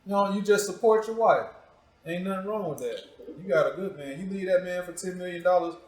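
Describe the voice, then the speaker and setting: A man's voice: high voice, fairly quick. An American man hosting a casual interview in an informal, teasing, playful way. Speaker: show host interviewing a guest. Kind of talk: casual, joking interview chat. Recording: echoey, reverberant room.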